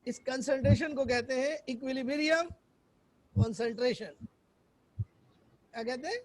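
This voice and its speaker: A hoarse man teaching a chemistry class online, quizzing students and repeating English definitions. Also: extremely high pitch, a little mellow, poor audio.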